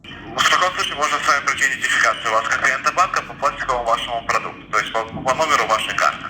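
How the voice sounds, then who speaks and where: A man's voice: mid-range voice, racing. A man talking like a scripted call-centre agent on a phone call, formal, officious and insistent, reciting stock phrases. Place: telephone line. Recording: echoey, noisy recorded telephone call.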